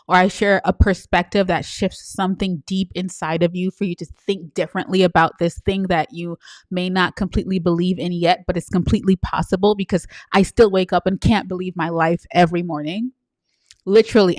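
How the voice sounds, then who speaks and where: A woman from New York City with a Trinidadian accent, coaching her audience live on a stream in an energetic, chatty way that keeps checking in with them. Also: fairly low pitch, moderate pace, no room sound. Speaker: livestream coach.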